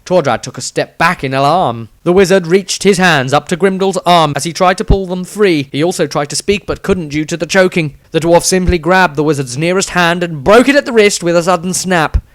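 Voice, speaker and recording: A man's voice: sits high, a little fast, hardly any pauses, a bit bright, no room sound. A man reading a scripted fantasy adventure aloud as a storyteller, with dramatic emphasis. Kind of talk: scripted audiobook narration.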